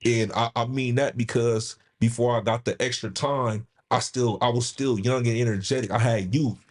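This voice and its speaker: A man's voice: mid-range voice, fairly even intonation, normal speed. A man telling his own life story with candor on a live show, often restarting and repeating his phrases. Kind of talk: candid personal storytelling. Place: studio with caller on line.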